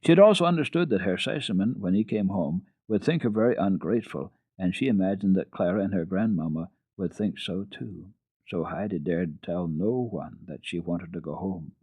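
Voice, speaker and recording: A man narrating a children's storybook dramatically, with expressive, deliberate phrasing and pauses. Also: sits low, slightly rough, no room sound, narrow, tinny audio. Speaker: reader of children's classics on a radio program.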